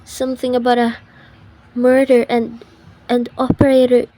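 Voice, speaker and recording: A woman's voice: fairly high pitch, completely flat delivery, normal speed, mellow, natural voice. A woman playing an anxious, imperious, genteel telephone caller in a dramatic radio thriller style, proper and insistent. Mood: alarmed, insistent. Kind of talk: old-time radio thriller acting.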